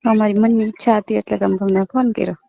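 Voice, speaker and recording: Creaky-voiced woman, dull phone recording. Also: medium pitch, steady tone, fast talker, dry recording. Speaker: phone caller. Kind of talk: casual private phone chat. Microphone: phone call recording.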